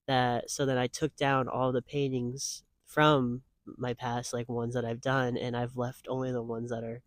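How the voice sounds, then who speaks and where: A man's voice: medium pitch, dry recording. A man of twenty-five answering interview questions in a casual, earnest way, rambling with lots of "like" fillers. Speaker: guest.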